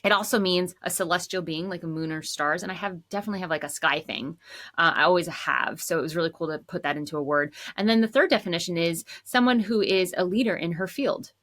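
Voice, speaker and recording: A woman in her thirties, a songwriter and composer, chatting casually about her work in a low voice with lots of "like.". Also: quick pace. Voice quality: says she has a low voice. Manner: chatty, says "like" often, relaxed. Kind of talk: casual storytelling to camera.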